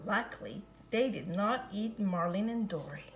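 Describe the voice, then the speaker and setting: A woman reading a children's picture book aloud in an expressive, sing-song storytelling manner. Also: mid-range voice, moderate pace, a little hiss or hum. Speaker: storybook reader.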